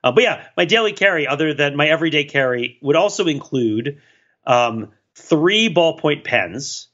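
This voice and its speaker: A man co-hosting a podcast in casual, rambling chat, talking in an animated, self-deprecating and digressive way. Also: fairly high pitch, normal speed, dull audio. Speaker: podcast co-host.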